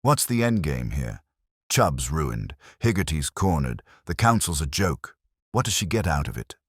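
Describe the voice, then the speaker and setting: A man reads as a puzzled male police sergeant in an audiobook mystery, a blunt sidekick full of questions. The voice is extremely deep and lively in pitch. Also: a little slow, slightly rough, no room sound, clean studio sound, heavily acted.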